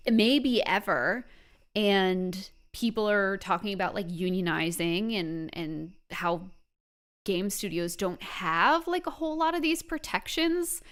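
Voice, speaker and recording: A woman's voice: mid-range voice, takes it slowly, fairly bright tone. A woman hosting a casual interview, speaking informally and sympathetically with hesitant phrasing. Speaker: interviewer, host. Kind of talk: casual chat.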